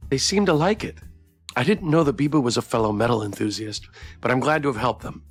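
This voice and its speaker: A man's voice: medium pitch, a little fast, faint music behind. A man streaming a game, reading the story aloud expressively and chatting with viewers as he goes. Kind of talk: playthrough narration with chat banter.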